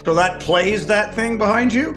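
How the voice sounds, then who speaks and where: A man's voice: high voice, moderate pace, a bit bright, faint music behind. A man from Canada, a comedian guest on a video call, telling wry, complaining stories in a casual interview.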